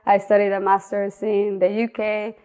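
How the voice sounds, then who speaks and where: Mexican woman with a flat voice. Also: mid-range voice. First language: Spanish. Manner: speaks English with pauses and restarts. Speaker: guest, outdoor content creator. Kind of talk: casual interview talk.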